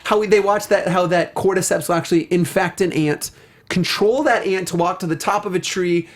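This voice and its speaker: Co-host, mid pitch, casual, animated, emphatic, slangy, conversational. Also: a little fast. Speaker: co-host of a film and game review show. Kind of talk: casual chatty discussion.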